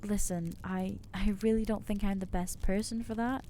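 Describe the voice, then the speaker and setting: Nervous young vampire girl voiced by a woman, soft mellow voice, keeps trailing off and putting herself down, asmr roleplay. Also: mid-range voice, steady tone, normal speed, slight background noise, lightly acted. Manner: hesitant, self-deprecating, trailing off. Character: anxious self-loathing young female vampire.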